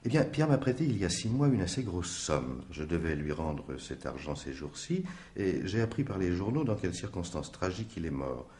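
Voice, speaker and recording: An actor playing a polite, quietly insistent male stranger in a French radio mystery in 1950s style, measured and formal. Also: sits low, very animated, slightly rough, full character voice. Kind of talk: French radio drama acting in 1950s manner.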